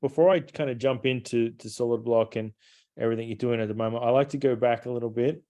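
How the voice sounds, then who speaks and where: A man hosting a podcast interview in a casual, halting way, repeating words as he forms questions. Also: medium pitch, steady tone, dark tone. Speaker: podcast host.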